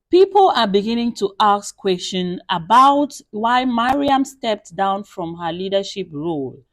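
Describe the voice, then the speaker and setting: A woman voicing an earnest, concerned male church elder in a story, with a measured, careful, urging manner. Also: fairly high pitch, no echo.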